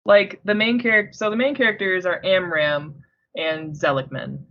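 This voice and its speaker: A young woman co-hosting a book podcast, explaining the story in a relaxed, teasing way. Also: lowish voice, moderate pace. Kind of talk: casual book discussion. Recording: dull lo-fi recording.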